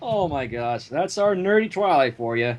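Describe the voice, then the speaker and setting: A woman's voice: low-pitched, expressive, moderate pace, a little mellow, slight background noise. A woman giving a playful, theatrical comic reading of a story, with asides to listeners. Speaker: fan fiction reader.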